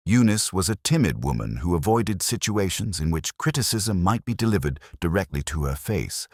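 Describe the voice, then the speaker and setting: A middle-aged man reading a scripted comic mystery story in a dry, measured, wryly grave manner. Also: a bass voice, dry recording. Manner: dry, measured, wry mock-gravity. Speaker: narrator.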